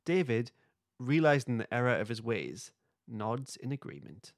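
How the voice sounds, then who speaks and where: A man's voice: medium pitch, sing-song, moderate pace, no room sound. Dry, close-miked man reading a script. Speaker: podcast host. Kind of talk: reading script narration aloud.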